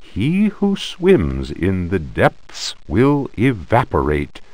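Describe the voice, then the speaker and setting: A grave, ominous narrator reads from an accursed tome in a horror game. His low voice moves very slowly, with theatrical rises and falls and a solemn weight. The sound is dry and close. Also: huge pitch swings, darkish tone, no echo, exaggerated voice. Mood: grave, dramatic. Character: grave male reader of an accursed occult tome. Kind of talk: theatrical reading of an ancient occult book.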